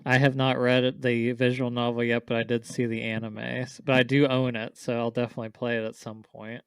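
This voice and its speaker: Man, podcast co-host, casual chat, clean smooth tone, no room sound. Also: medium pitch, flat delivery, a little fast, no room sound.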